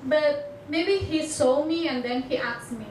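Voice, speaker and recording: A young Indonesian woman with a high voice gives a talk in halting English, often stopping to find her words. Batak is her first language. She is picked up from far off in an echoing lecture hall with clear background noise. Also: a little mellow. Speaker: international student speaker. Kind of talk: personal presentation to an audience. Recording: echoey hall, far mic, noisy.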